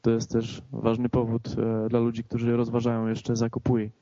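A man explaining things haltingly in a casual video game discussion. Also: mid-range voice, completely flat delivery, dark tone, dry recording. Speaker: podcast panelist. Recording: dull, dry lo-fi recording.

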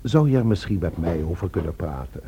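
An actor playing a calm, concerned but firm superior and friend in a Dutch radio drama, speaking deliberately. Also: male voice, sits low, breathy edge, exaggerated voice.

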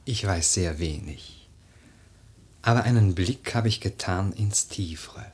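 An actor playing a young, world-weary widower in a verse radio drama, speaking gravely and deliberately. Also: male voice, deep voice, a little mellow. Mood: resigned, musing. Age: young adult.

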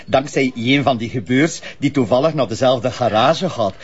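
A man playing a bumbling Flemish cop, husky. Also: mid-range voice, moderate pace, close to an everyday voice. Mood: rambling. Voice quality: rambling, hesitant, folksy. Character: chatty, bumbling Flemish police officer. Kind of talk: radio play acting. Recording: dull lo-fi tape.

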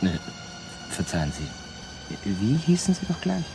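A man's voice: lowish voice, wildly swooping pitch, measured pace, vocal fry, over music, exaggerated voice, low-quality recording. An actor playing a bewildered, polite Earth man in a radio play, fussy and puzzled with a grumbling streak. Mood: incredulous.

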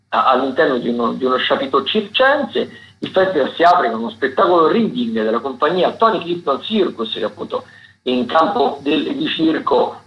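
Halting male radio host, roomy sound. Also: mid-range voice. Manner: halting, digressive, self-correcting. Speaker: radio host presenting theatre listings. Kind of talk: informal spoken radio listings of upcoming shows. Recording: roomy, slightly dull radio broadcast sound.

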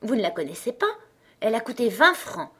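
A woman's voice: a little high, big pitch swings, fairly quick, bright, forceful tone, slightly rough, full character voice. A woman voicing a sweet, eager and generous little girl in a storybook reading. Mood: kind, earnest.

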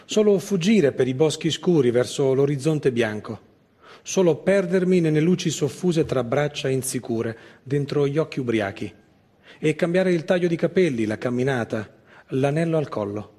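High-voiced man in a dramatic reading. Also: animated, normal speed, mellow. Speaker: narrator in a theatre piece. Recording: voice over accordion music.

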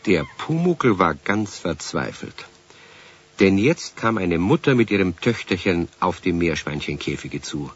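A man acting as the calm narrator of a children's radio play, telling the story. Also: low voice, unhurried, slightly rough, exaggerated voice. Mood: storytelling. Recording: dull 1970s radio recording.

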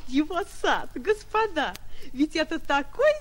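Gushing old society lady, 1940s radio play: extremely high, animated, theatrical. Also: measured pace. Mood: adoring.

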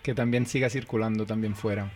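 A man's voice: fairly low pitch, steady tone, fairly quick, a little mellow. A man with an accent from Spain chatting casually as a co-host in a radio interview.

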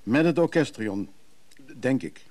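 An actor in a Dutch radio play voicing an evasive, soothing antiques buyer, speaking conversationally and a little hesitantly. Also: male voice, medium pitch, expressive, unhurried, darkish tone, natural voice. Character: Freek, evasive, soothing middle-class antiques buyer and brother.

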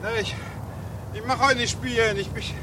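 A man's voice: extremely high pitch, steady tone, normal speed, noisy background. An actor playing a hesitant, insecure and wry everyman in a radio play. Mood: nervous, flustered. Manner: hesitant, stammering in dialogue. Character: Lars, hesitant, wry, insecure everyman narrator and tennis amateur. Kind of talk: radio play narration and dialogue.

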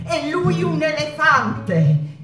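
A woman playing a passionate, wounded Mexican painter in a theatrical monologue, with halting, drawn-out phrases. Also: sits high, big pitch swings, full character voice. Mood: nostalgic, tender. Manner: dramatic, halting delivery with drawn-out phrases and repetitions. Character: passionate, wounded Mexican woman painter, wife remembering her unfaithful husband. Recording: roomy echo with guitar music. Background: acoustic guitar music.

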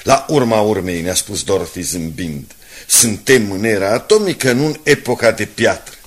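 A man playing a measured newspaper colleague in a radio drama, dryly and articulately reading aloud. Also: fairly low pitch, expressive, husky. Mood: measured, wry.

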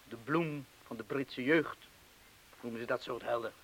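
An actor playing a sceptical, self-deprecating former wartime bomb-disposal man in a radio play, with a measured, understated way of talking. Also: mid-range voice, a little hiss or hum, natural voice, rough recording. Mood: wry, self-deprecating. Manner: measured, understated, terse replies.

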